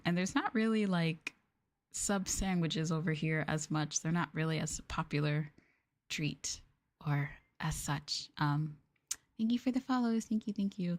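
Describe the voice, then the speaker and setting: A woman with an American accent and a slightly low voice chats with her live viewers. Her delivery is soft and casual in an ASMR style, with expressive, bubbly reactions as she talks. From United States. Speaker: streamer chatting with live viewers. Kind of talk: casual ASMR chat stream.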